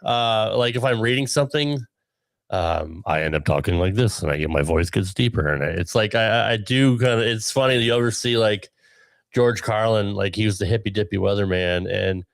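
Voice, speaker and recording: A middle-aged man with a deep radio voice, talking casually on a podcast in a relaxed, chatty way with frequent ums and restarts. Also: a little fast, gravelly, no room sound. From Connecticut, USA. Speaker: podcast producer and guest.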